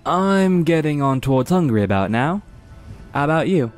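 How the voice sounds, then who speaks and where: A man's voice: on the high side, very animated, moderate pace, soft background music. A man playing an easygoing, hungry dragon-riding knight with a casual, teasing manner in a fantasy audio drama. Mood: breezy complaint.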